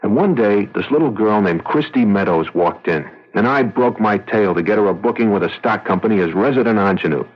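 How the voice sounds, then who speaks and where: An actor with an American accent playing a self-serving young agency gopher in a radio drama, slangy and hard-boiled, with a husky voice. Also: male voice, fairly low pitch, expressive, fairly quick, hardly any pauses. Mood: wry, reminiscing. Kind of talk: 1970s radio drama acting. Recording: dull vintage radio recording.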